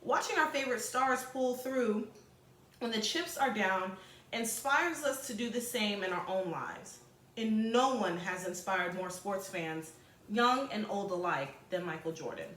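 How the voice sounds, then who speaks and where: A woman's voice: medium pitch, animated, measured pace, a bit bright, reverberant, low-quality recording. A woman teacher reading aloud to students, expressively, with informal chatty asides and explanations.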